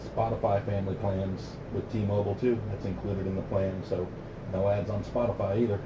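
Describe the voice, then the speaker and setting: A middle-aged American man with a lowish voice chats casually over CB radio. The audio is dull and roomy with clear noise, and he talks in a plain-spoken, opinionated way with radio slang. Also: level tone, brisk. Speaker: CB radio operator hosting a radio night. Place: CB base radio station.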